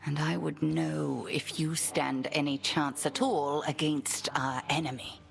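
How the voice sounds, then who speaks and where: A woman voicing a stern, imperious witch headmistress in a video game, speaking in a formal, measured, exacting way. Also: sits low, fairly even intonation, gravelly, faint music behind, heavily acted.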